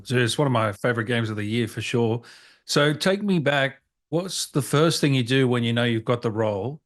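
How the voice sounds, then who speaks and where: A man's voice: medium pitch, animated, moderate pace. A man interviewing a guest in a casual, chatty style as host of a gaming show.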